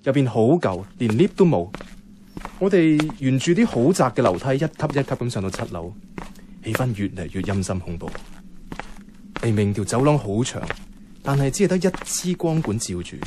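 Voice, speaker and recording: A man's voice: mid-range voice, animated, moderate pace, background noise, natural voice. An actor playing a bemused, reluctant young man who narrates and talks in a radio drama, wry and questioning, with a Hong Kong Cantonese accent. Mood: uneasy. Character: bemused, reluctant young male companion narrating a night walk.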